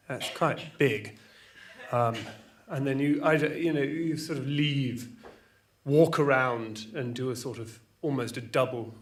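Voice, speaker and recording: A man playing a vague, earnest rambler in a deadpan comic monologue, meandering and repeating himself. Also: mid-range voice, close to an everyday voice.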